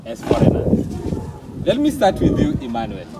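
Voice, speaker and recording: A man's voice: mid-range voice, huge pitch swings, normal speed, very noisy. A man hosting a vlog, presenting formally and interviewing his guests. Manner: formal, announcer-like delivery. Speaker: vlog host.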